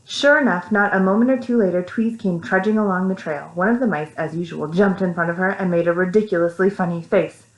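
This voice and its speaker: A woman with an American accent reading a story to young children, animated, with simple casual asides. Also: fairly low pitch, fairly quick, hardly any pauses. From Texas, United States. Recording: echoey room.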